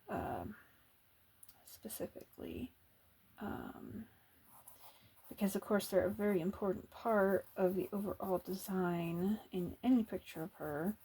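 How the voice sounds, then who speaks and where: A woman chatting softly as she draws for an ASMR video, thinking aloud with hesitant pauses and often slipping into whispers, close to the mic. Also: medium pitch, slightly rough, rough recording. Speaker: artist drawing on camera. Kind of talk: soft-spoken ASMR drawing chat.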